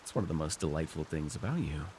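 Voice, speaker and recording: A man's voice: extremely low pitch, lively intonation, quick pace, background noise. A man playing an arrogant, seductive fantasy warlord in an intimate roleplay, teasing and theatrical as he speaks to one listener. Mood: flustered, exasperated. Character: arrogant, seductive male fantasy villain warlord, dream-wielding conqueror. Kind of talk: immersive ASMR fantasy roleplay acting.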